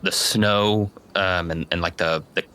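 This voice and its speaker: A man's voice: low voice, fairly even intonation, measured pace, pushed and bright. A man narrating a tabletop roleplaying game as its game master.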